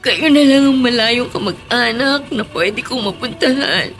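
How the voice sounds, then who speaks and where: Tearful, grieving Lenny. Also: female voice, fairly high pitch, a little slow, fairly bright tone, faint music behind. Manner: tearful, subdued. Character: Lenny, a grieving young woman who lost her family. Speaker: relative in a radio drama.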